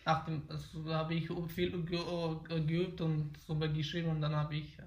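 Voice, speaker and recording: A man's voice: on the high side, level tone, unhurried, roomy sound, lo-fi sound. A nineteen-year-old man from Afghanistan whose first language is Pashto, answering interview questions hesitantly with many fillers. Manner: hesitant, frequent fillers and restarts. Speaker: interviewee, German learner. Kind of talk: interview about learning German.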